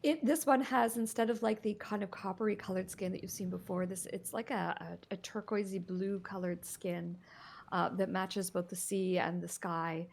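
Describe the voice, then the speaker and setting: A woman narrating a tabletop game as game master, describing scenes in a halting way with many fillers. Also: medium pitch, fairly even intonation, gravelly, slight background noise.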